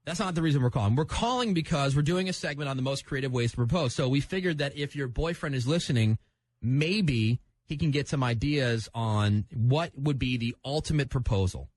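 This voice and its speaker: A man's voice: medium pitch, animated, a little fast, poor audio. A man hosting a radio show, chatting on air with a caller in a conversational presenter style. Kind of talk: on-air prank call banter. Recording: radio broadcast audio.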